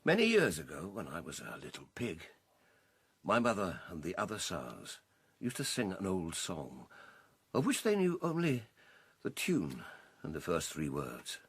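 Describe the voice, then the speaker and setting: An actor playing an old boar, a hoarse and solemn visionary leader making speeches to farm animals in a radio drama. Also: male voice, sits low, huge pitch swings, no room sound, exaggerated voice. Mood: wistful, solemn. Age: older. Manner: solemn, rhetorical, deliberate.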